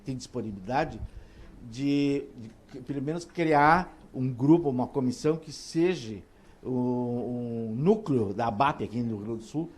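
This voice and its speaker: A man moderating a conference panel, halting and repeating words as he talks. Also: medium pitch, husky. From southern Brazil. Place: large auditorium stage.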